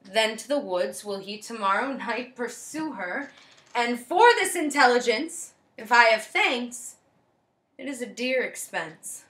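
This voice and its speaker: A woman playing a lovesick, wounded young woman in a measured, declamatory Shakespearean stage monologue. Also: fairly high pitch, lively intonation, fairly bright tone. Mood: resolved, pained. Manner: declamatory, measured verse delivery. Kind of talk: theatrical Shakespearean verse monologue.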